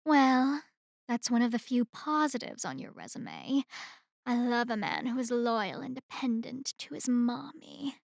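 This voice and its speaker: Slow, high-voiced haughty female boss played by an actress. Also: big pitch swings, creaky, no room sound, studio quality, exaggerated voice. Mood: condescending. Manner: condescending, deliberate, mocking. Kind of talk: ASMR roleplay acting. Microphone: close microphone in a dry room.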